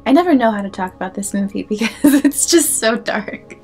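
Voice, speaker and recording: A young woman hosting a film analysis video, chatty with playful asides, slightly hoarse from losing her voice. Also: on the high side, lively intonation, brisk, darkish tone, soft background music. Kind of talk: film analysis talk to camera.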